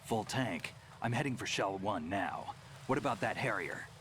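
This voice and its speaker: A terse, low-voiced soldier. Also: male voice, expressive, moderate pace, a bit bright, a little hiss or hum. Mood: calm, terse. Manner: clipped, matter-of-fact orders. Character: gruff male soldier ally, calm and terse. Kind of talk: video game cutscene acting.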